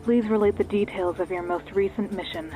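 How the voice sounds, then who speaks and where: A detached female interrogator played by a woman asks questions formally in a mellow, mid-pitched voice. Faint music sits behind her, as in an anime drama. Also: steady tone, fairly quick, lightly acted, poor audio. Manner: formal, clipped. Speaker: voice actor. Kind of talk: anime parody audio drama acting.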